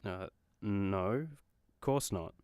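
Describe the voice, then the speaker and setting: A man's voice: lowish voice, very slow, heavy background noise. An actor playing a vain, sulky male superhero in a radio comedy, griping with indignant sarcasm. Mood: sulky, sarcastic. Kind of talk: comedy radio play acting.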